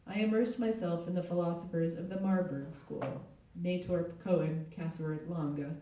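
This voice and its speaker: A middle-aged woman, a literary translator, reading aloud at a live event, her voice hoarse with a cold. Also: on the low side, steady tone, normal speed, warm tone, a little hiss or hum. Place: echoey indoor event space. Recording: very echoey room, distant mic.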